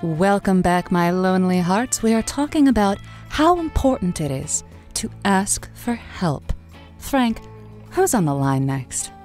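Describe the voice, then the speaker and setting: A woman playing a tender, haunted radio advice host who speaks theatrically with endearments and deliberate pauses. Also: medium pitch, very animated, a little mellow, soft background music. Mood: poised, welcoming. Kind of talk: audio drama as late-night call-in radio.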